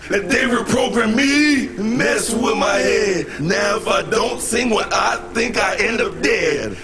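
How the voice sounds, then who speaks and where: A man's voice: high-pitched, slow pace, gravelly, raised voice, over a noisy crowd, full character voice. A man playing a defiant anti-establishment street singer in a game, delivering rhymed protest in a sing-song, declamatory way. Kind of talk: sung, rhyming protest speech in a game.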